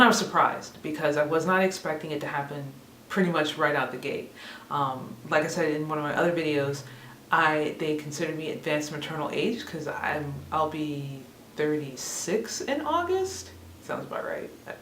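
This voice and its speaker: A woman's voice: deep voice, fairly bright tone, far from the mic. A woman in her thirties talks casually and rambles, often laughing at herself. She sits a little way from the mic in an echoey room. A faint hiss runs under her voice. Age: 30s. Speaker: vlogger. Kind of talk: casual chat to camera.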